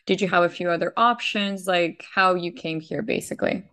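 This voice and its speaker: A woman's voice: on the low side, normal speed, fairly bright tone. A woman hosting an interview podcast, asking questions in a friendly, upbeat way. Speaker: podcast host.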